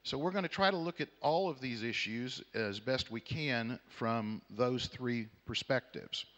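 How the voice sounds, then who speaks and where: A middle-aged man from Texas with a Southern American accent moderates a conference panel, addressing the audience in a measured, courtly, wry way. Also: mid-range voice, fairly bright tone, lo-fi sound. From Houston, Texas, United States. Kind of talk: conference opening remarks to an audience. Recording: echoey hall with long reverb.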